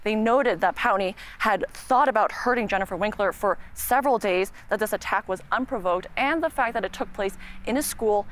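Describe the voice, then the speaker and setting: A woman with a Canadian accent giving a measured, earnest live news report as a reporter outside a courthouse. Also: medium pitch, fairly bright tone. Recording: clear background noise, outdoor ambience.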